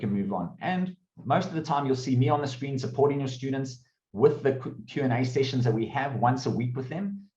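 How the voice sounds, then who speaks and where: A man's voice: medium pitch, darkish tone, echoey room, lo-fi sound. Upbeat man presenting over a call. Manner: casual and upbeat, with fillers and restarts. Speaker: presenter of a coding course. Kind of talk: online open day presentation.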